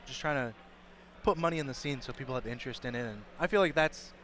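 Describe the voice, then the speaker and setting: A man commentating an esports tournament in casual, upbeat chat, his voice slightly hoarse from cheering. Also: mid-range voice, fairly bright tone, a little hiss or hum. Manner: chatty and upbeat, quick rambling asides.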